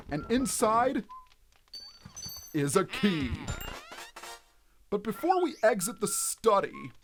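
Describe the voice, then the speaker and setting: A man's voice: high voice, a bit bright, slight background noise. A man giving video game let's play commentary in a theatrical, explanatory manner as the host. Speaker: let's player, host of the series.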